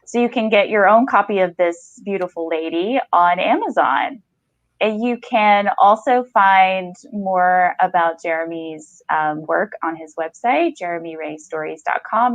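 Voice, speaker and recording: A woman's voice: medium pitch, dry recording, muffled recording. A woman hosting a podcast, interviewing an author in a reflective, affirming, conversational way. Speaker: podcast host.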